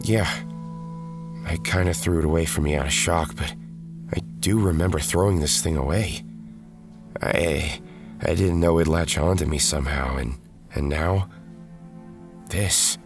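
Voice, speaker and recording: A man acts out a former hero in an audio drama, weary and defensive as duty pulls him back. His voice is very low, and dramatic music plays clearly behind it. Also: a little slow, long pauses. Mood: startled, defensive. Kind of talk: audio drama acting with first-person narration.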